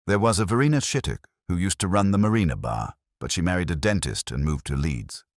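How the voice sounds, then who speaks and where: A man's voice: sits low, expressive, a little fast, no room sound, clean studio sound. A man voicing a fussy, evasive club official in an audiobook mystery, hesitant and a little dismissive.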